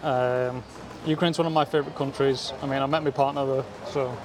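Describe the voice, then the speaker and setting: A man's voice: mid-range voice, steady tone, brisk, a crowd in the background. A man hosts a casual interview outdoors on a city street, with an easygoing, affirming manner. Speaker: host interviewer. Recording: loud street traffic noise.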